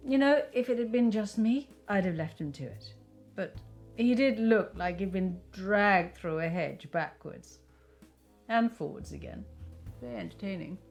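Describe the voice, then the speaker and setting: An actress playing a haughty, gossipy middle-aged British aunt with a theatrical, scornful manner in an interactive film. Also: female voice, mid-range voice. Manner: theatrical, catty, gleefully scornful.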